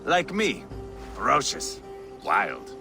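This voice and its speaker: An actor playing a fierce, boastful, proud Viking warrior in a video game cutscene. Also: male voice, on the low side, wildly swooping pitch, takes it slowly, gravelly, music in the background, exaggerated voice. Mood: brooding, scornful. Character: fierce, swaggering male Viking warrior, brutal, restless, proud.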